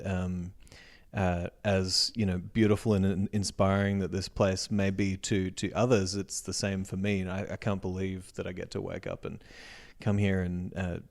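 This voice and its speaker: An Australian man with a low-set voice talks through his plans as a guest on an interview show. He is earnest and reflective, and his sentences fade out softly at the ends. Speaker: guest, music educator. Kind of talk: casual interview talk.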